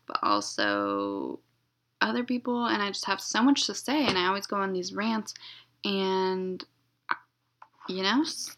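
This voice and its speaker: A young woman hosting her own podcast, talking in a flat, monotone, rambling way and being blunt. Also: mid-range voice. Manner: blunt, unfiltered. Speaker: podcast host. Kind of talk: casual solo podcast intro.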